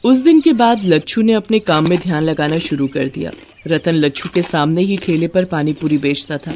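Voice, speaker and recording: A woman's voice: on the low side, a little mellow, a little hiss or hum. A woman narrator reading a scripted bedtime moral story.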